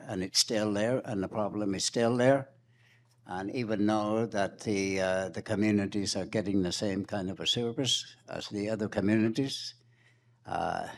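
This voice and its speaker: A man giving impromptu remarks at a roundtable in a measured, hesitant way. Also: lowish voice, a little hiss or hum. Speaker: roundtable panelist.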